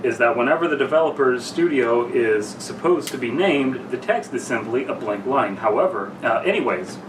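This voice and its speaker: A man's voice: mid-range voice, lively intonation. Tipsy young man, echoey and distant. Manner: halting, stumbles over reading. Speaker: podcast co-host reading a story aloud. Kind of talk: casual banter and story reading among friends. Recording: echoey noisy room, far from mic.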